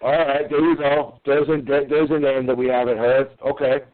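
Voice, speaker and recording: A man, co-hosting a casual sports podcast, animated, swearing and tripping over his words. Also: mid-range voice, normal speed. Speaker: podcast co-host. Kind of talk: casual sports call-in chat. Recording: tinny phone-like line.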